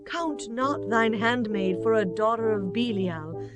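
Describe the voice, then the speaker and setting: A woman's voice: fairly high pitch, slow. A woman playing a devout, earnest wife of ancient Israel in a dramatized scripture reading, speaking reverently and with measure. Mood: sorrowful. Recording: music bed behind the voice.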